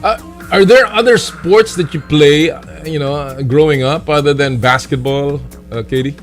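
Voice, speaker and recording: A man's voice: on the high side, moderate pace, faint music behind. A man hosting a live sports talk show, asking questions in a friendly, chatty way that often repeats phrases. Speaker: show host.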